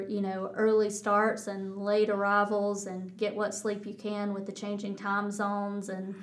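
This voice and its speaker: A middle-aged woman with a Texas accent, a pilot answering interview questions in a measured way, often restarting her sentences. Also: medium pitch. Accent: Texan. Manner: flat, measured, with many restarts. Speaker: pilot guest.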